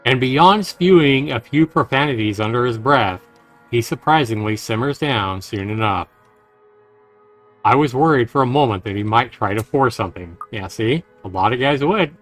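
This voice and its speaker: A man's voice: fairly low pitch, fairly bright tone. Man livestreaming a story game, faint music. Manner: earnest, talking directly to listeners. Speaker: livestream host playing a visual novel. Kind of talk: reading game text aloud with casual commentary.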